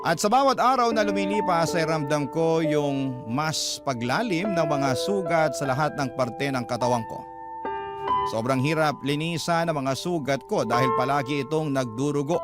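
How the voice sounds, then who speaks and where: A man's voice: fairly high pitch, expressive, moderate pace. A male radio narrator giving an earnest, dramatic first-person reading of a listener's letter. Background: loud music.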